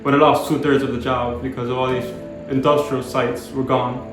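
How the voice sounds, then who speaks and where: Young man from the Dominican Republic, 20s; measured, even delivery, very roomy echo and music under. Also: mid-range voice. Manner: measured and explanatory. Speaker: planning graduate and local resident. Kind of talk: documentary interview. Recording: very echoey room with music behind.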